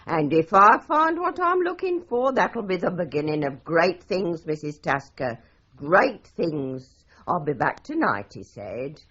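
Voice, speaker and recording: A garrulous English landlady in a radio play. Her husky voice swoops theatrically through every grand, indignant phrase. The recording is dull and muffled. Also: lowish voice, very animated, unhurried, fairly bright tone, heavily acted. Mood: dramatic recounting. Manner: theatrical, florid, declamatory storytelling. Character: garrulous, dramatic London landlady, respectable and indignant. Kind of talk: 1980s radio drama acting.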